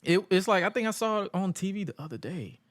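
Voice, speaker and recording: A man's voice: sits high, very animated, fast talker, no room sound, studio quality. A man in his late twenties co-hosting a podcast, chatting casually and joking bluntly with slangy asides. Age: 20s. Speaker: podcast co-host. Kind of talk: casual chat.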